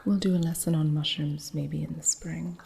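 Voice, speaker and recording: A woman voicing an eccentric witch shopkeeper who mentors her apprentice, speaking in soft, unvoiced whispers for an ASMR roleplay. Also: low-pitched, steady tone, normal speed, vocal fry, a little hiss or hum. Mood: gentle whispering.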